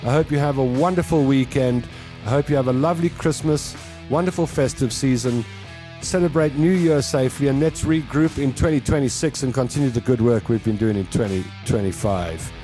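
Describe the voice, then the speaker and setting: A man's voice: mid-range voice, a little fast, dark tone, soft background music. A South African man in his fifties hosting a live show, talking casually and earnestly to his listeners in a reflective, conversational way. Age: 50s.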